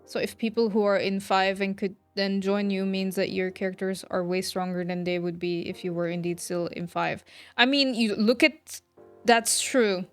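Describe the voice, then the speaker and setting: A woman's voice: mid-range voice, fairly even intonation, moderate pace, clear, resonant tone. A woman game streamer chatting casually with her viewers. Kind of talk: casual livestream chat. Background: soft background music.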